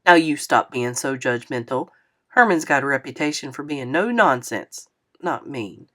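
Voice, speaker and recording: A woman's voice: a bass voice, expressive, normal speed, sharp tone, pitched below the performer's own voice, exaggerated voice. A woman voicing a jokey, easygoing older man who teases a young boy in a drawling, playful way. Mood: musing.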